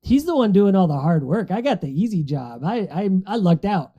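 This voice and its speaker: A man's voice: high-pitched, big pitch swings, fairly quick, background noise. A man talking casually as a podcast panelist in a discussion among friends, on a dull, muffled recording.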